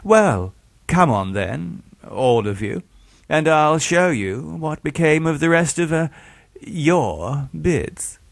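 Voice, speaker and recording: A man's voice: fairly high pitch, lively intonation, slow, creaky, dry recording, voice pushed up, exaggerated voice. A man voicing an arrogant, murderous surgeon in an audiobook, with a sardonic, theatrical and falsely affable manner. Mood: condescending.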